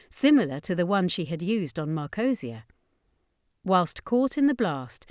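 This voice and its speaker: A woman's voice: lowish voice, dry recording, clean studio sound. A woman reading a horror fantasy story as scripted audiobook narration.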